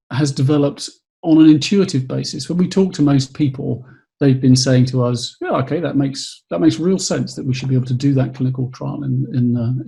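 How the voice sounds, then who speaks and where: A man with a gravelly, husky voice and a mellow, darker tone. He explains his company's work in a measured way, pausing often and repeating words. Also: medium pitch. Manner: measured, with frequent hesitations and repeats. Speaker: company executive. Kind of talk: webcast pitch.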